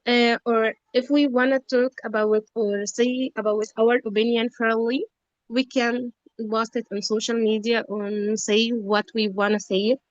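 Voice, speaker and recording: A woman's voice: on the high side, level tone, measured pace, no echo. A woman learning English in an online class, speaking hesitantly with restarts and small apologies. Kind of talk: spoken English practice. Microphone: video call. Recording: lo-fi dry video call audio.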